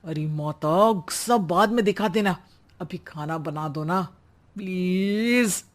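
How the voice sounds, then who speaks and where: Cartoon young husband, played by a man: harried, placating, weary, slow delivery. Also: sits high. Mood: pleading. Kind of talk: Hindi cartoon voice acting.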